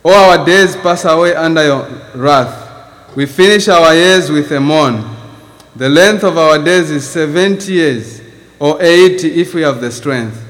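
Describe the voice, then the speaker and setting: A Zambian man preaches in a slightly high voice, earnest and steady. He lifts his voice with emphasis as he reads scripture, and a long church reverb trails behind each phrase. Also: a little slow. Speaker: visiting preacher. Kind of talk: sermon.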